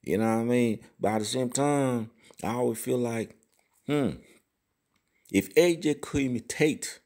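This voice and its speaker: A man's voice: mid-range voice, a little slow, a little mellow. A man hosting a boxing channel, giving casual, profane commentary and often asking "you know what I mean?".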